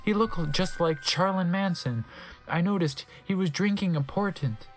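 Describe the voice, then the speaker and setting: A man's voice: sits high, normal speed, faint music behind. A man narrating a comedic dramatic reading in a theatrical, mocking way with wry asides.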